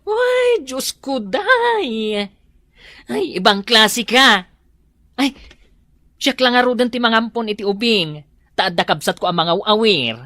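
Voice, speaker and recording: A reproachful Filipino neighbor woman in a radio drama. Her pitch swoops dramatically while the pace stays slow and drawn out. She scolds and exclaims, utterly scandalized. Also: expressive, bright, forceful tone, dry recording, studio quality, heavily acted. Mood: shocked, reproachful.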